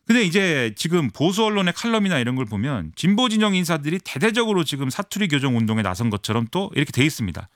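A man giving fast, animated political analysis on a current-affairs radio show, explaining with many fillers. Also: a little high, no room sound, studio quality. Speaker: political commentator.

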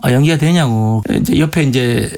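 A man's voice: mid-range voice, normal speed, a little mellow. A middle-aged man with a Gangwon Korean dialect, a guest singer telling rambling anecdotes full of restarts and fillers in a casual interview.

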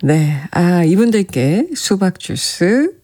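Brief: radio host with a warm, dark tone and a soft, breathy edge. Reads listener notes gently and chats. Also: medium pitch, very animated, slow. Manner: gentle, chatty, often laughing softly. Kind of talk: radio show, reading listener messages.

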